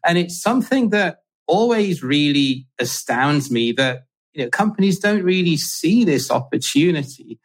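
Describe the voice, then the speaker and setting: A man's voice: fairly high pitch, animated, unhurried. A man hosting a livestreamed podcast interview, asking questions conversationally and often restarting his phrases. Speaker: podcast host.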